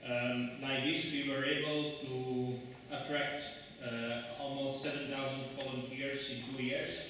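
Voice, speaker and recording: A man's voice: mid-range voice, little pitch movement, unhurried, fairly bright tone, slight background noise. A middle-aged man whose first language is Dutch, giving a hesitant conference talk in a lecture hall with many ums and restarts. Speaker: conference speaker. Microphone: lectern mic, far camera. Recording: echoey hall from far off.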